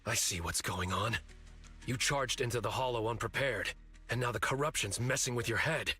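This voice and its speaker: A man's voice: medium pitch, normal speed, pushed and bright, slightly rough, faint music behind. A man voicing a serious, watchful young fighter in a video game, speaking in an earnest, no-nonsense way. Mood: serious, urging. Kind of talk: English video game voice acting.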